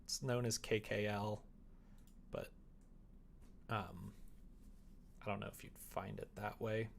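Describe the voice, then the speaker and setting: A man with a fairly low voice hosts a casual livestream. He talks to his viewers in a loose, rambling way, thinking out loud as he goes. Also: steady tone, takes it slowly, vocal fry, a little hiss or hum. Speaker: live streamer. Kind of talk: casual chat with stream viewers.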